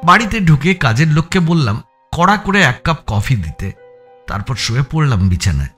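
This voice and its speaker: A man's voice: fairly high pitch, very animated, moderate pace, fairly bright tone, soft background music. A man playing an anxious amateur sleuth, narrating his own suspense story in a dramatic, scripted style. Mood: rueful narration. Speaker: first-person narrator.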